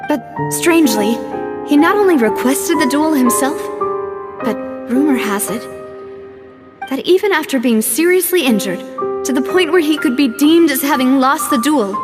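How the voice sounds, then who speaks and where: An actress voicing a poised, earnest young woman who leads an organization in a game cutscene, with a refined, measured, sincere manner. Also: high-pitched, lively intonation, loud music behind the voice. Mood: wistful, grave. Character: poised young lady leading a crime-fighting organization, earnest and polite. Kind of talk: video game cutscene voice acting.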